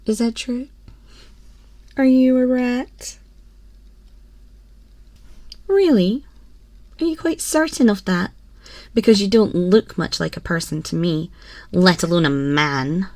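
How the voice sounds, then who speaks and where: A woman's voice: mid-range voice, slow pace, long pauses, darkish tone, dry recording. A woman playing a haughty, mocking empress in an ASMR roleplay, with imperious, condescending and theatrically formal delivery, close on a binaural microphone. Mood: mocking, probing. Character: haughty, cruel, mocking empress toying with a prisoner. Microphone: close binaural microphone, dry.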